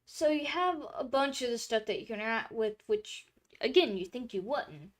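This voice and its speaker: A woman's voice: on the high side, animated, normal speed. Chatty young woman rambling on stream. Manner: rambling, chatty, lots of filler words and restarts. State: throat drying, slightly hoarse. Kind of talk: casual stream chat.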